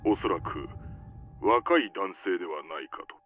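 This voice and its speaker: A man's voice: low voice, expressive, a little fast. A man playing a composed household servant in a visual novel, very polite and restrained, heard through a muffled phone filter. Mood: composed, deferential. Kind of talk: Japanese visual novel voice acting. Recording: muffled, band-limited phone sound under music.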